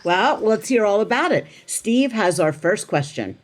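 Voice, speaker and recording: A woman's voice: medium pitch, big pitch swings, unhurried. A woman co-hosting a podcast, giving upbeat, welcoming introductions. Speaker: podcast co-host.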